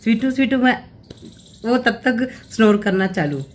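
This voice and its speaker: A woman's voice: fairly high pitch, lively intonation, normal speed, a little mellow. A woman in her thirties talking through a family vlog at home, chatty and explaining things at length with rambling asides. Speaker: family vlogger.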